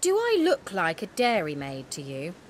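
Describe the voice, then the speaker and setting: A woman's voice: fairly low pitch, wildly swooping pitch, moderate pace, a little hiss or hum. An actress playing an imperious, curt village witch in a fantasy game, speaking. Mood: imperious, impatient. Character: haughty, impatient young village witch. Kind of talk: video game voice acting.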